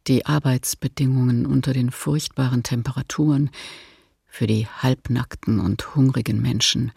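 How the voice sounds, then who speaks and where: An actress playing a sombre middle-aged diarist in a radio documentary drama, reading soberly in a husky, gravelly voice. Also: female voice, rumbling low, a little mellow, no room sound, studio quality, heavily acted.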